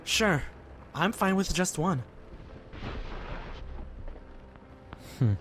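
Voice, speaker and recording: A man's voice: sits high, expressive, measured pace, a bit bright. A man acting as an earnest, devout young man in a fan audio drama, speaking politely and sincerely, a little hesitant. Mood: gentle. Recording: clearly noisy background ambience.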